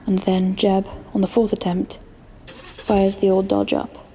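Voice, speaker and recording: A woman's voice: medium pitch, level tone, unhurried, mellow, slight background noise. A woman reading scripted present-tense story narration as the narrator of an audio drama.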